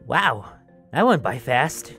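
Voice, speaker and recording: Animated series: woman as eager, friendly freshman boy, lowish voice with lively swings. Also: slow, faint music behind, heavily acted. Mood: upbeat, joking. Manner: upbeat, chatty. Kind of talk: animated roleplay voice acting.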